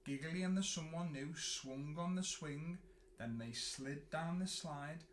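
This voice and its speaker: Man reading a children's storybook in an echoey room. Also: fairly high pitch. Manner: animated, dramatic pauses and drawn-out words. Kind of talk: expressive picture-book storytelling for children. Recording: roomy echo, clear background noise.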